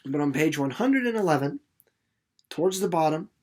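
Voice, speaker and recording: A man giving casual commentary on a book as a teacher, informal and a little halting as he thinks aloud. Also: a little high, animated, a little mellow. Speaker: reader and teacher. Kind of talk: storybook narration and casual literary commentary for students.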